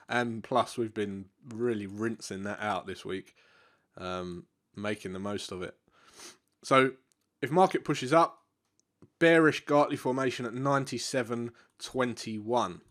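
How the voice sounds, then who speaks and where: A man with a congested, stuffy nose, walking through market charts in a relaxed way with many fillers and restarts. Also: mid-range voice, slow pace, long pauses. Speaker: forex trading educator. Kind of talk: live market analysis walkthrough.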